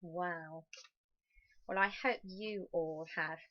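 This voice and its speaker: A woman's voice: on the low side, drawn-out words, no echo, lo-fi sound. A woman reading a children's picture book aloud expressively, with chatty asides to young listeners. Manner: animated, exclaiming, addressing young listeners. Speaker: storybook reader.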